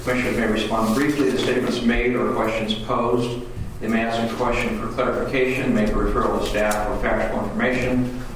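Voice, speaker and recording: A man's voice: medium pitch, brisk, few pauses. Man chairing a meeting in an echoey hall. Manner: relaxed, procedural, many fillers. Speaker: commission chair. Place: council chamber. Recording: echoey room, distant mic, noisy.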